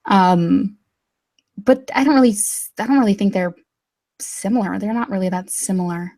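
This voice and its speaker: A woman's voice: medium pitch, moderate pace, mellow. A young woman from Texas chatting casually as a guest, hesitant with many fillers and restarts, and dryly sarcastic. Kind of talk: casual chat.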